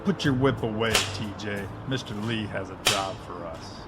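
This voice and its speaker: A man's voice: lowish voice, moderate pace, over loud music, natural voice. A man playing a businesslike, nagging male enforcer in an audio drama. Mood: gruff. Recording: loud background vehicle ambience noise.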